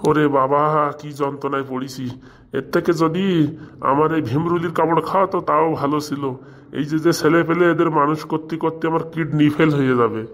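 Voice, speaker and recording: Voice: mid-range voice, steady tone, a little fast, close to an everyday voice. An actor voicing an exasperated, scolding village schoolmaster in a comic cartoon, sarcastic and rustic, with a rural Bengali dialect.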